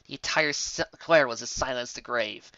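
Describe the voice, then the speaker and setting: A man's voice: medium pitch, normal speed, bright, forceful tone, no room sound, highs cut off. A man reading a fantasy story aloud in a theatrical, dramatic way. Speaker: narrator, reader. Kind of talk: expressive amateur fan fiction reading.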